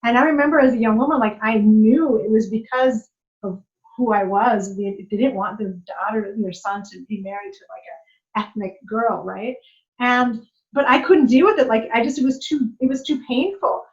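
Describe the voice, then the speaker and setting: A woman's voice: fairly high pitch, moderate pace. A woman guest in a personal conversation, reflective and affirming, filling her speech with "like" and "you know". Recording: muffled lo-fi recording.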